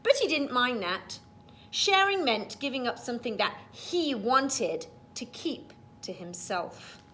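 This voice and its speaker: A woman reads aloud to young children as their teacher, pausing for simple explanations. Her voice is fairly high and swings widely in pitch, at an unhurried pace, over faint background rumble. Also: big pitch swings, pushed and bright. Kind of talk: storybook read-aloud with explanatory asides.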